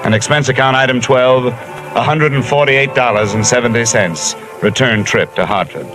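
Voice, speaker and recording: A man's voice: medium pitch, normal speed, natural voice, poor audio. An actor playing a hard-boiled male insurance investigator narrating a 1950s radio drama in clipped sentences. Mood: terse. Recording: old radio transcription with music.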